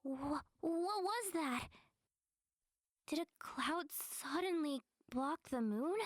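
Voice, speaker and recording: A woman's voice: sits high, animated, takes it slowly, no room sound, clean studio sound. A woman voicing a mischievous, easily startled young girl in a visual novel game. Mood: startled, uneasy. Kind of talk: visual novel character acting.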